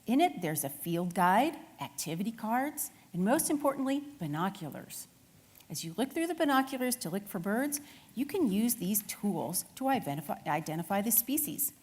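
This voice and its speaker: A woman's voice: medium pitch, very animated, normal speed, darkish tone, breathy edge, long reverb tail. A woman voicing a friendly female nature educator in a children's storybook, encouraging and instructive.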